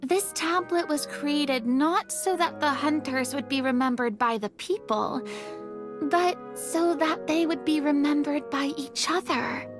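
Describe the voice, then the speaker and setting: A woman's voice: high voice, measured pace, music in the background. A woman playing a poised, thoughtful young character in an anime-style video game.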